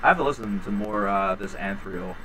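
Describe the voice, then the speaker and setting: A man in his thirties hosting a home livestream, chatting casually with viewers in a rambling, low-key, tired way. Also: a little fast, a bit bright, recorded from a distance, poor audio. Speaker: livestream host reading chat. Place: small home room indoors. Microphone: headset.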